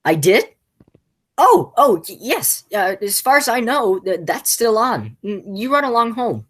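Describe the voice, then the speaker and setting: A teenage girl playing a flustered, exasperated man in a school radio play, stammering and halting as she speaks. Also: a little high, sing-song, a bit bright, slightly rough, exaggerated voice. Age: teens. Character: flustered, exasperated male theater critic and nephew.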